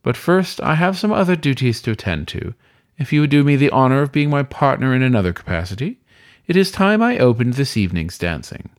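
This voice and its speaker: A man performs a courtly weasel host for a storybook tale. He speaks in genteel, old-fashioned phrases with a teasing, suave temper, swinging widely in pitch, recorded close and dry. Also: sing-song, fairly quick, no room sound. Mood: amused, inviting. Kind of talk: audiobook character voice.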